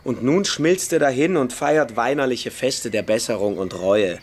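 A man's voice: mid-range voice, normal speed, close to an everyday voice. Provocative young freethinker, played by a man, over noisy ambience. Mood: mocking, insistent. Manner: emphatic, scornful, persuasive. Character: precocious, provocative schoolboy freethinker lecturing his younger friend. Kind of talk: German radio play dialogue.

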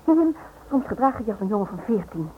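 An actress playing a shaken but strong-willed wife and mother in a radio drama, sharp-tongued and reproachful. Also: mid-range voice, big pitch swings, fast talker, natural voice. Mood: indignant, reproachful. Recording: noisy, tinny old radio recording.

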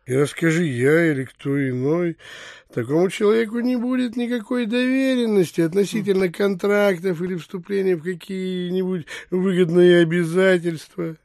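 An actor playing a bearish, crafty, stubborn landowner who grumbles and wheedles in an audio drama. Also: male voice, high-pitched, moderate pace, a little mellow, no echo, clean studio sound. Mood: sly, insinuating. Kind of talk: theatrical audio drama acting.

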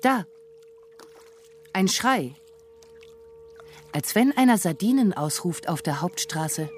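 A woman's voice: lowish voice, expressive, takes it slowly, soft background music. An actress playing an observant, melancholy woman who narrates what she watches, reflective, in a literary radio drama.

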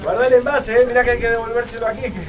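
A man's voice: very high voice, racing, noisy background. An actor playing a brash but caring young male friend with an Argentine accent, in colloquial radio drama dialogue. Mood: urgent, playful. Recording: music under the voice.

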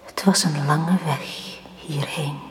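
An eerie dead young woman in a radio drama. She whispers very slowly, calm and insistent. A faint ambient noise lies behind her. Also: low voice. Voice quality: whispery, slow. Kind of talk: 1980s radio drama acting.

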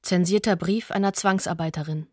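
A woman's voice: lowish voice, fairly even intonation, a little fast, dry recording, studio quality. A woman announcing sources and dates tersely for a scripted radio documentary.

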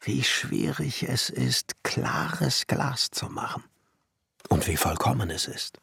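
Radio drama: solemn visionary glassmaker, slow and declamatory, gravelly edge, slipping into hushed musing. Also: male voice, medium pitch, sing-song, dry recording, full character voice. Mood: rapt. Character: Johann Kunkel, obsessive visionary glassmaker and alchemist, solemn. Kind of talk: radio play inner monologue, literary German. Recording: studio radio play with music underneath.

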